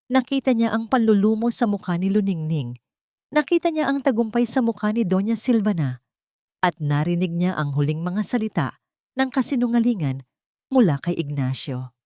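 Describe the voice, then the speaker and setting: A woman's voice: medium pitch, warm tone, dry recording, clean studio sound. A woman giving a dramatic, scripted narration of a story.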